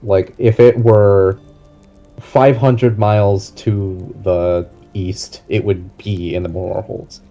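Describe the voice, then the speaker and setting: A man with a very dark, relaxed voice explains a fantasy world as game master. His delivery is casual and halting, with music behind. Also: lowish voice.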